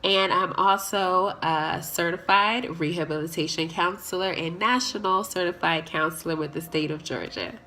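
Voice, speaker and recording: A woman's voice: on the low side, normal speed, few pauses, rough recording. A young adult woman, the fellowship's president, giving an upbeat to-camera introduction with playful asides. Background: soft background music.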